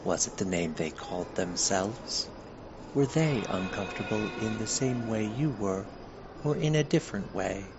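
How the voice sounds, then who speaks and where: A solemn guide to an otherworldly museum played by a man, low in pitch and formally measured. He delivers scripted audio-guide lines and probing questions through a dull, noisy recording. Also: animated.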